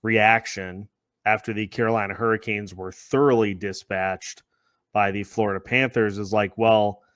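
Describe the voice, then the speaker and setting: A man's voice: on the low side, fairly even intonation, moderate pace, fairly bright tone, no echo. Male sports podcast host, dry close sound. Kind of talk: conversational sports discussion with scripted-sounding intro.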